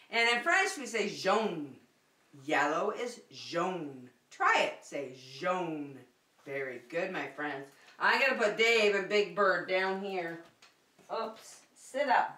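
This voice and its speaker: A woman leading a preschool circle-time lesson, speaking to young children in simple, repeated phrases with an encouraging, animated manner. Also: on the low side. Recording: roomy echo, distant microphone.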